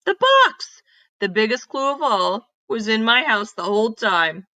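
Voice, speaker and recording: A woman voicing an eager, determined young girl detective in a children's storybook, earnest and excitable. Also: fairly high pitch, measured pace, no room sound, full character voice. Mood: excited, amazed.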